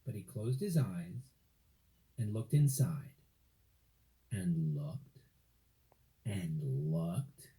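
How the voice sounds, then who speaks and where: A woman reading a children's story aloud expressively, with dramatic, drawn-out phrasing. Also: a bass voice, expressive, warm tone, low-quality recording.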